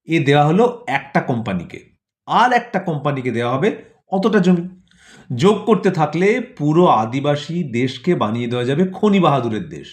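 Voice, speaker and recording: A man's voice: a little high, big pitch swings. Man narrating a satirical tale. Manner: rhetorical, measured phrasing with repetition and listed words. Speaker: narrator of an audio play. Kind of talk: scripted satirical storytelling narration.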